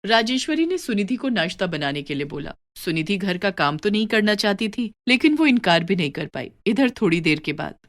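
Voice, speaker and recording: Lady narrator reading a family moral story, medium pitch, scripted. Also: sing-song, brisk, hardly any pauses.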